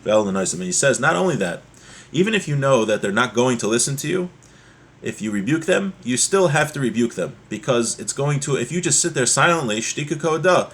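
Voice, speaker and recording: A man giving a religious lesson as a teacher, explaining a text point by point and repeating key ideas, in a husky voice. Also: medium pitch, lively intonation, brisk, a bit bright. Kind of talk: Torah lesson, reading Hebrew text and explaining it.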